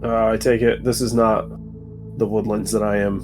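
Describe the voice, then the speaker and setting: A man's voice: lowish voice, level tone, moderate pace. A man chatting casually as a player at a tabletop roleplaying game. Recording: music bed behind the voice.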